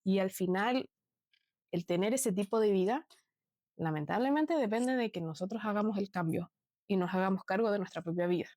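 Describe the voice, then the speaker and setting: A woman's voice: medium pitch, darkish tone, no room sound. Chilean woman in her twenties, earnest personal vlog talk, lots of ums, super dry close sound. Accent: Chilean Spanish. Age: 20s. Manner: earnest, unhurried, many fillers. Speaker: vlogger. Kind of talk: reflective personal talk to viewers.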